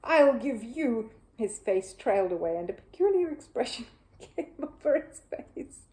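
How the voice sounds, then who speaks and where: A woman narrating a children's story as an animated audiobook reader. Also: mid-range voice, normal speed.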